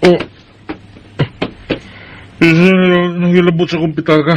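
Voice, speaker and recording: A man acts out a drunken Bisaya husband, quarrelsome and suspicious, in radio drama style. His voice is very high for a man, with faint noise behind it. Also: level tone, measured pace, close to an everyday voice. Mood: drunk, grumbling. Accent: Filipino Bisaya. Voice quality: drunken delivery. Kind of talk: Filipino radio drama acting.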